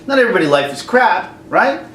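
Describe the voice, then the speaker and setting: A man hosting a casual rant to camera, jokey and animated, now and then slipping into silly singing. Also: sits high, fast talker. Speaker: YouTube host. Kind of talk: ranting commentary to camera. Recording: echoey room, mic at a distance, faint background noise.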